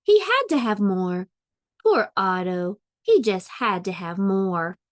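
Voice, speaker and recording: A woman reading a children's storybook aloud like a preschool teacher, expressively, with deliberate, drawn-out dramatic emphasis. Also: mid-range voice, expressive.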